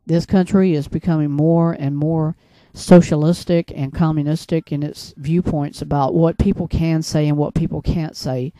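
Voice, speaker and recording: An American man speaks as a broadcaster delivering solo commentary. His voice is mellow and rather dark, recorded dry with very little room sound. He is earnest and admonishing, moving haltingly and circling back to repeat phrases for emphasis. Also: fairly high pitch, steady tone, dry recording. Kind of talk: religious and political commentary monologue.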